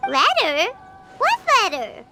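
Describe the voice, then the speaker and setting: A woman voicing a tiny, bubbly, childish fairy companion in an anime game, squeaky and excitable. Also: takes it slowly, exaggerated voice. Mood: curious. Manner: bubbly, speaks of herself in third person. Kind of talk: anime game character acting. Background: background music.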